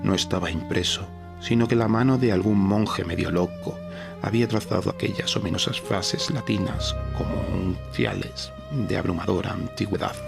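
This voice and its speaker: Low, gravelly male horror narrator. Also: moderate pace. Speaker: podcast narrator reading a horror short story. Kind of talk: dramatic audiobook narration of gothic horror. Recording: narration over a music bed. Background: background music.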